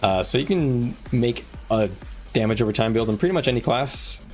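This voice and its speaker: A man's voice: mid-range voice, expressive, moderate pace. A man talking informally as a game streamer, thinking aloud as he gives viewers gameplay advice in a conversational way. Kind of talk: casual live-stream chat, giving gameplay advice to viewers. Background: music.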